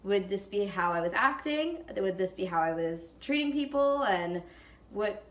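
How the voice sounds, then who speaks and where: A woman's voice: medium pitch, expressive, measured pace. Twenties woman, lots of ums and you-knows, echoey room sound. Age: 20s. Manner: hesitant, with many fillers and hedges. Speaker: interviewee. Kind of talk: casual reflective answers.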